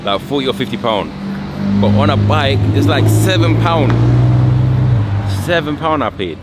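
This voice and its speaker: A young man, talking excitedly in slang to a camera held at arm's length on a street at night. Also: mid-range voice, unhurried, loud music behind the voice. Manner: excited, slangy, laughs often. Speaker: motovlogger. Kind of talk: casual vlog talk to camera. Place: outdoors on a seafront street at night. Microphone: phone or camera at arm's length. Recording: street and traffic noise behind.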